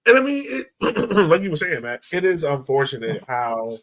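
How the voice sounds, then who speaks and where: A man's voice: a little high, sing-song, normal speed, fairly bright tone. A man hosting a casual soccer podcast, chatting about the game and putting questions to his fellow panelists. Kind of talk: casual sports chat.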